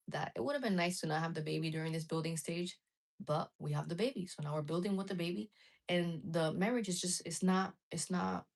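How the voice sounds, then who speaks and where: Woman streamer, frank and casual, slightly low voice. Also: creaky. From United States. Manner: chatty, frank, answers chat messages as she goes. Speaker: live streamer. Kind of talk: casual live chat with viewers.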